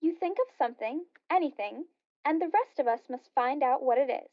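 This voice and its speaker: High-pitched, eager party guest played by a woman. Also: animated, fairly quick, dry recording. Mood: playful. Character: eager female party guest leading a game. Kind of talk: radio play acting. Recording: dry, slightly dull lo-fi audio.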